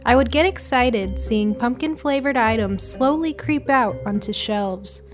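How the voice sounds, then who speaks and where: Female storyteller, slightly high pitch, scripted short-story narration, soft music underneath. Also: measured pace.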